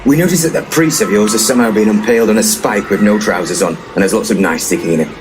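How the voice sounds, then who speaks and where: An actor playing a pompous, eccentric paranormal investigator, formal and deadpan, in a comic audio play. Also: male voice, lowish voice, quick pace, background noise, close to an everyday voice. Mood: breezy. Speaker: actor in an audio comedy drama. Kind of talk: audio play dialogue, dry comedy.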